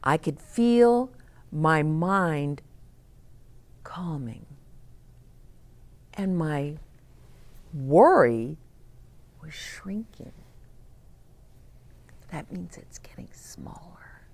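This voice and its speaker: A woman hosting storytime for children, reading aloud and pausing to explain gently and ask the listeners questions. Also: low voice, lively intonation. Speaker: children's storyteller, host of a read-aloud channel. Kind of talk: read-aloud storytime with asides to young children.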